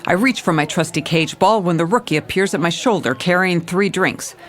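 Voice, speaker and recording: An actress playing a world-weary, dogged female police commissioner, narrating noir-style in a dry, deadpan way. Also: lowish voice, a little fast, lightly acted. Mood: dry, determined. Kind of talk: noir detective narration and dialogue.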